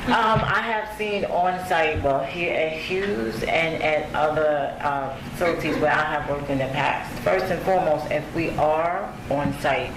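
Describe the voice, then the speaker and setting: Booming, polite man panelist. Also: measured pace, hardly any pauses. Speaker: on-site healthcare provider. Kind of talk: panel discussion. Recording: echoey room with background noise.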